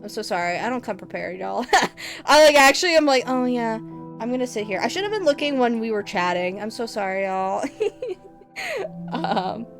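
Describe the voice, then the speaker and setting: A woman's voice: on the high side, animated, moderate pace, faint music behind. A woman livestreamer chatting casually with her viewers, teasing them playfully and laughing often. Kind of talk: casual stream chat with viewers.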